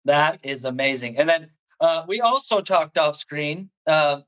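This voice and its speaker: A man hosting a podcast, energetic and hype-filled, often stumbling and restarting his sentences. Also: fairly high pitch, measured pace, rough recording. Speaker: podcast host. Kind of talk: hyped show host intro and interview.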